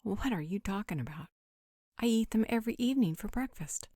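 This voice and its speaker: A woman's voice: mid-range voice, expressive, a little fast, dark tone, husky, no room sound. A woman playing a blunt, flippant supernatural partner in a scripted roleplay audio drama, dry and offhand.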